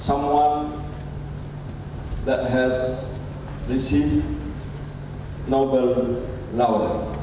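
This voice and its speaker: An older man, a native Indonesian speaker, giving a closing speech as a professor, pausing often with "uh". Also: medium pitch, steady tone. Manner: halting, with frequent "uh" pauses. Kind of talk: speech at a lecture podium. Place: university lecture hall. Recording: echoey hall from far off, noisy.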